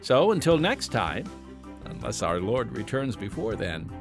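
A man's voice: mid-range voice, big pitch swings, a little slow, music in the background. A man hosting a podcast in a scripted, radio-style announcing delivery. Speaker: podcast host.

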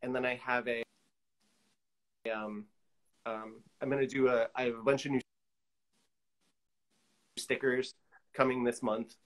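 Middle-aged man, mid-pitched, chatting casually. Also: level tone, measured pace, pauses often, rough recording. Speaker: guest artist. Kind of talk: casual chat. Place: indoor room at home, on a video call.

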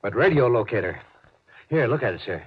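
An actor playing an anxious, deferential spaceship officer, giving clipped reports in a 1950s science fiction radio drama. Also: male voice, mid-range voice, big pitch swings, brisk, breathy edge. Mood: uneasy. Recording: dull, noisy 1950s radio recording.

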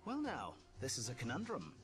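Very animated, droll tease. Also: male voice, medium pitch, a little fast, faint music behind. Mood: teasing. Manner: droll, teasing.

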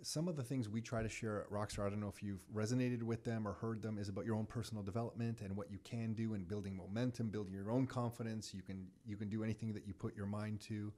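A middle-aged man hosting a podcast interview, friendly and encouraging, with animated exclamations, on a studio mic in an office. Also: fairly low pitch, fast, hardly any pauses. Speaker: podcast host. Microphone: studio mic on boom, headphones.

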